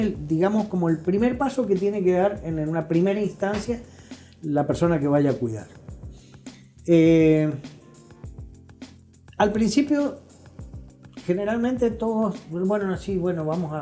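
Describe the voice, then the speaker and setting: A male interview guest with an Argentine accent explains things in a conversational, teacherly way. His voice is mellow and warm, with faint music playing behind it, and he punctuates points with short tag questions. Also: high voice, expressive, moderate pace, long pauses.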